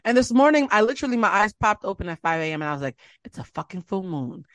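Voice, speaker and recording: A woman's voice: medium pitch, fast, no echo. Chatty woman host, voice jumps all over, super dry close mic. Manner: animated storyteller, acts out quotes. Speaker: podcast host. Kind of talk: casual chat.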